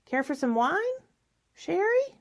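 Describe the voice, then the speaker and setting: A woman's voice: high voice, very animated, slow, gravelly, faint music behind, full character voice. A woman acting in a radio drama as a lonely, faded spinster who likes her wine, wistful, bitter and self-pitying. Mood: sociable, brittle.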